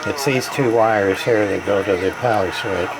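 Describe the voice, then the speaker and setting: A man giving a casual, halting, hands-on repair commentary in plain, informal speech. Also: lowish voice, vocal fry, a loud crowd. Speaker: hobbyist repairer showing a radio repair.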